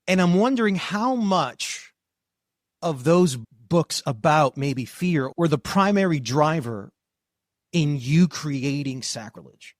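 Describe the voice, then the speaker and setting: A man's voice: on the high side, expressive, slow pace, no room sound, studio quality. A man hosting a podcast, asking questions in a casual, candid way and swearing freely. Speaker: podcast host. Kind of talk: casual interview chat.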